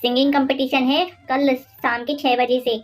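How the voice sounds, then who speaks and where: Cartoon young daughter-in-law, woman acting: highish voice, naive, deferential, inquisitive. Soft music bed. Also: level tone, normal speed, natural voice. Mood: chatty, curious. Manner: deferential, questioning. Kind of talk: animated moral-story dialogue.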